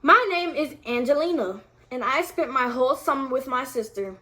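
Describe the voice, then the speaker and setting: High-pitched woman reading a picture book aloud. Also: animated, normal speed, bright, forceful tone. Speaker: mother reading aloud to her toddler. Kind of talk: picture-book read-aloud with casual asides to a child.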